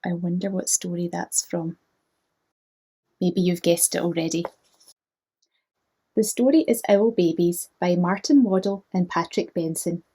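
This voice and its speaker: A woman narrating a children's storybook in a gentle manner, inviting young listeners to join in. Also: lowish voice, measured pace, pauses often, breathy edge.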